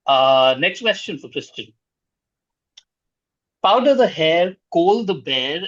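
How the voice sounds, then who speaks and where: A man hosting a quiz, reading out questions in a formal, measured way. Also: fairly high pitch, fairly bright tone, dry recording, muffled recording.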